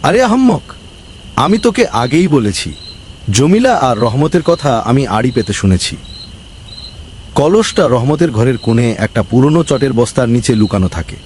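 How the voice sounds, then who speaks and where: Casting: male actor as scheming, bossy village boss for a cartoon, very lively pitch swings, faint insect ambience. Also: normal speed, a little hiss or hum, lightly acted. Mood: scolding, commanding. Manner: bossy, scolding, conspiratorial. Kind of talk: cartoon voice acting.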